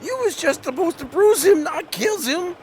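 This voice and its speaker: A man's voice: very high voice, animated, normal speed, slight background noise. A man acting in a comedy horror audio drama as a meek, hesitant younger brother. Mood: worried. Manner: hesitant, submissive. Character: meek, slow-witted hillbilly younger brother, reluctant sidekick.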